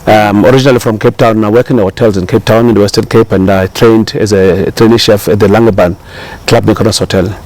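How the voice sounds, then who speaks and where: A man's voice: on the low side, fairly even intonation, quick pace, darkish tone, low-quality recording. A middle-aged man with a South African accent, a chef talking casually as an interview guest, rambling with many fillers and restarts.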